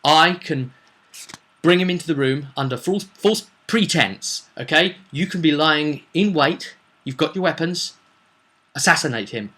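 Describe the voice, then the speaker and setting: A man's voice: fairly high pitch, lively intonation, measured pace, sharp tone. A man voicing a scheming city councillor who feigns earnest urgency, persuasive and insistent, in a tabletop roleplay retelling. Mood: urgent, conspiratorial.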